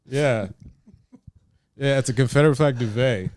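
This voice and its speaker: A man's voice: mid-range voice, normal speed, darkish tone, clear, resonant tone. A male comedian guest on a podcast, riffing absurd, sarcastic bits in casual chat.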